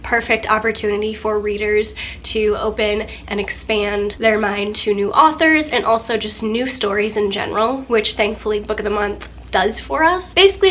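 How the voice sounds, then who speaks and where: A woman's voice: on the high side, fairly bright tone, slight background noise. A young woman vlogging about books in a chatty, gushing way, sounding nasal from a recent cold. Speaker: book vlogger. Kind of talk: casual sponsored book chat.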